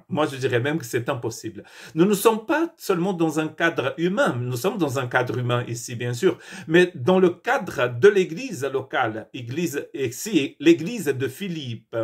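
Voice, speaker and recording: A pastor delivers an earnest daily devotional, stumbling over words and restarting as he goes. His voice is husky and sits at an ordinary male pitch. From Portuguese-speaking country. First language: Portuguese. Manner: earnest, with frequent restarts and hesitations.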